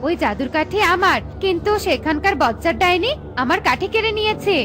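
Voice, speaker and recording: A scolding cartoon witch, voiced by a woman, who speaks in a sharp, very high voice. She orders and threatens rather than asks. Soft children's music runs underneath. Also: animated, a little fast. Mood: commanding. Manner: scolding, commanding. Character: stern but fair old witch, robbed of her wand. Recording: with light background music.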